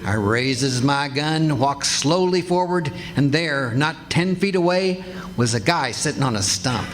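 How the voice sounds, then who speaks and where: A man's voice: on the high side, animated, unhurried. A man playing a blustery, boastful, folksy husband who tells tall tales in an old-time radio comedy. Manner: folksy, grumbling, exclamatory. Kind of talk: 1940s radio comedy acting before a live audience. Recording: noisy live stage recording with clicks.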